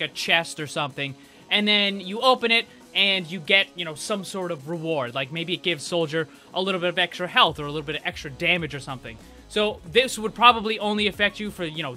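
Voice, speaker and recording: A man's voice: high voice, sharp tone. A man hosting a gaming video, giving casual, informal and chatty news commentary. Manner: informal, chatty, frequent "like" and "you know". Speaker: YouTube gaming host.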